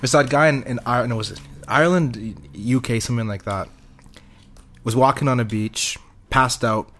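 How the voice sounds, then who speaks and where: A man's voice: mid-range voice, moderate pace, a bit bright, slight background noise. Young guy on a podcast, offhand storytelling, swears casually. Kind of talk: casual chat.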